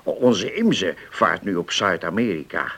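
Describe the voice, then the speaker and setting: Radio play role: genial old seafarer, unhurried, expressive swings, reminiscing with hesitant repeats. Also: male voice, low-pitched, husky, exaggerated voice. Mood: musing, contented. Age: older. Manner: hesitant, musing, repeats words. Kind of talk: radio drama acting.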